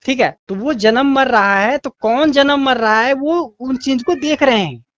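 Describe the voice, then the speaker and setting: A man's voice: very high voice, measured pace, fairly bright tone, no room sound. A man explaining his view insistently in a religious debate on a phone call, repeating phrases to press his point. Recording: dull lo-fi call audio.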